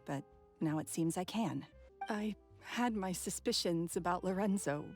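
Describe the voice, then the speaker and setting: A woman playing a gentle, sincere young woman in mourning in a video game, soft and hesitant. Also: fairly low pitch, measured pace, faint music behind. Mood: emotional, relieved. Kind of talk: video game character acting.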